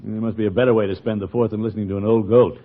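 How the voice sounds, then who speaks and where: An American actor playing a pompous, flustered middle-aged water commissioner in a 1950s radio comedy, blustering and muttering in exasperation. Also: male voice, fairly low pitch, steady tone, very fast, natural voice. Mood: irritated. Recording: tinny, noisy old radio broadcast.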